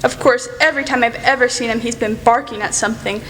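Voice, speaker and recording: A young woman playing an outspoken, excitable teenage girl in a radio play, gushing and rambling bluntly. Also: on the high side, fast, natural voice. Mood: frank, earnest. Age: teenager or young adult. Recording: echoey hall, faint noise.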